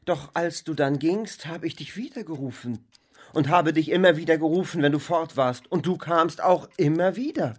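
A man's voice: on the high side, moderate pace, natural voice. An actor playing a bitter, self-loathing bridegroom in his thirties, anguished and brooding, in a German radio drama. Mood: pleading. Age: 30s.

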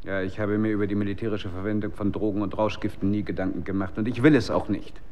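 A man's voice: lowish voice, level tone, fast talker, warm tone, close to an everyday voice. A man acting in a German radio drama as a troubled, principled research scientist, speaking in an earnest, searching way. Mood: reserved, sceptical.

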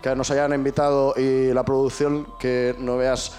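A man from Madrid co-hosting a live radio show, chatting in a relaxed, jokey way with his partner. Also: mid-range voice, a little slow, soft background music. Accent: Madrid Spanish. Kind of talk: casual radio chat. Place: live event room.

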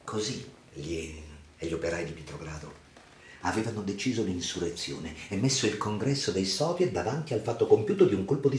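A man narrates a radio drama as literary reportage, in a measured, theatrical, solemn delivery with deliberate pauses. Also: sits low, a little mellow, a little hiss or hum. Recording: reverberant voice over music. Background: music.

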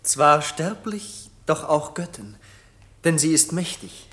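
Slow, tender young prince. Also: male voice, a little high, very animated, fairly bright tone, heavily acted. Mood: earnest. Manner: tender, earnest. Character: ardent, devoted young prince in love. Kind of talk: German classic radio play, theatrical.

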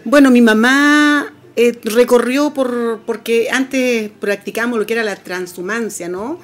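Middle-aged woman, lively storyteller. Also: fairly high pitch, unhurried. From Camiña, northern Chile. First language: Aymara. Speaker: Aymara language teacher, interviewee. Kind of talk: personal story on a radio program.

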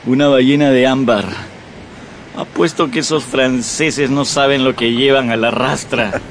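An actor playing a shrewd, sly ship's officer who makes knowing remarks. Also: male voice, mid-range voice, fairly even intonation, a little slow, a little hiss or hum, lightly acted. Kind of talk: radio drama acting.

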